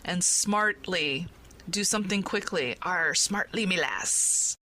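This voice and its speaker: A woman's voice: on the low side, takes it slowly, pushed and bright. A woman hosting a themed podcast in a playful, conversational way. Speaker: podcast host.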